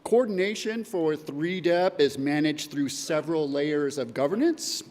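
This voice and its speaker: A man's voice: on the high side, lively intonation, measured pace, a little mellow, in a large hall. A man giving a prepared conference presentation as a coordinator, reading steadily with occasional fillers. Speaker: data acquisition coordinator. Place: conference hall.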